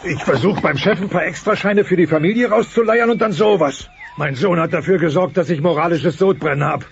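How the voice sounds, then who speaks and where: An actor playing a harried, weak-willed dinosaur father with a gravelly voice in a German comedy radio play. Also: sits high, a little fast. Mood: plaintive. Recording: muffled, noisy old cassette transfer.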